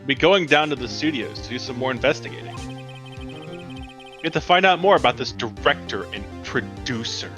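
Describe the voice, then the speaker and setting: A determined male lawyer, voiced by a man for a fan-dubbed game. He talks in a dry, conversational way with a lively range, over clearly audible music. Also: mid-range voice, normal speed, close to an everyday voice. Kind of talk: fan voiced visual novel acting.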